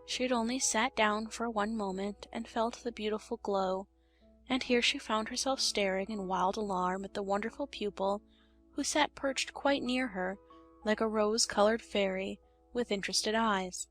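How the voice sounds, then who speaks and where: A woman reading a children's story as an expressive audiobook narrator. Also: medium pitch, moderate pace, soft background music.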